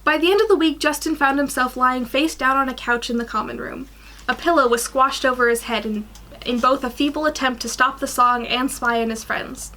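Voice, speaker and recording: A young woman in her twenties reading fan fiction aloud in an animated way, stumbling over the odd sentence. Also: sits high, fairly quick, slight background noise. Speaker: guest story reader. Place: small attic bedroom.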